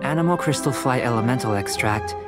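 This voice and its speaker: An actor playing a calm, courteous young male alchemist in an anime-style game, speaking in a measured, scholarly way. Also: medium pitch, loud music behind the voice, close to an everyday voice. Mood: gentle, composed.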